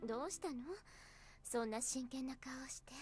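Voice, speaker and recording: A woman playing a gentle, composed schoolteacher in a visual novel, speaking softly and breathily with patient phrasing. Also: high-pitched, fast talker, faint music behind, lightly acted. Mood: puzzled. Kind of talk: Japanese visual novel voice acting.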